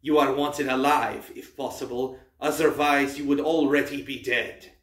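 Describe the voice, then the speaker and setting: A man's voice: mid-range voice, fairly even intonation, normal speed, a bit bright, lightly acted. A man voicing a menacing monster man posing as a stern teacher, with cold, clipped, formal commands. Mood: gloating. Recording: roomy, from a distance.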